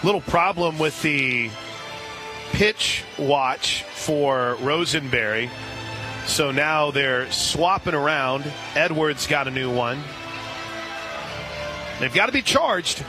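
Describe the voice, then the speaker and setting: Male sports radio announcer, higher-pitched, excitable softball play-by-play caller, clear music behind him. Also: takes it slowly, pauses often, rough recording. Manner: excitable on big plays. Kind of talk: live softball play-by-play commentary.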